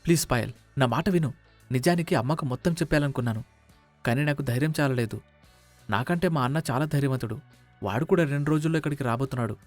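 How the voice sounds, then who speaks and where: A man's voice: medium pitch, fast, soft background music, close to an everyday voice. A man playing a timid, evasive young adult son who coaxes and over-explains in a dramatised moral story. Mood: pleading. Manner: hesitant, coaxing, over-explaining.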